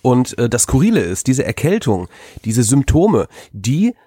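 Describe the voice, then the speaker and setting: A man's voice: medium pitch, sing-song, unhurried, warm tone, breathy edge, dry recording, clean studio sound. A man co-hosting a podcast with a cold and a stuffy nose, rambling with lots of fillers. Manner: rambling, filler-heavy talk. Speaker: podcast co-host. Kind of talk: casual chat.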